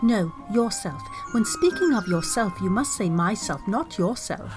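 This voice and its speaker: A woman voicing a proper, courteous young lady who patiently corrects and gently turns down a suitor, in an old-time radio drama. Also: medium pitch, very animated, over music. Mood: prim. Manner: prim and courteous, measured and correcting.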